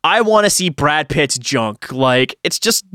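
A man co-hosting a podcast with a bright, forceful voice and very lively intonation. He talks casually, jokes around and swears freely, recorded close and dry. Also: on the high side, measured pace, dry recording. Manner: animated, joking, swears casually. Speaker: podcast co-host. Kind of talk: casual chat.

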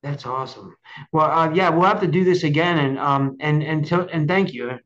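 The audio is dull and lo-fi. A man with a fairly high voice hosts a casual interview, speaking effusively and haltingly, often doubling back on his words. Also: fairly bright tone. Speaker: show host.

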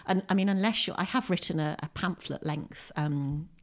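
Low-voiced British woman, wryly self-deprecating. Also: normal speed. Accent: British English. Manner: wry, self-deprecating humour, chuckles while talking. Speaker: poet and short story writer, podcast guest. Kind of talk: conversational interview, reflective and anecdotal. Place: at home, indoors.

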